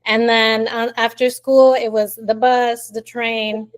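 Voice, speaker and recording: Female guest, late twenties, from Colombia, slightly high-pitched, warm and chatty. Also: little pitch movement, slow. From Colombia, raised in Miami. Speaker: interview guest. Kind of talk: casual storytelling in an interview.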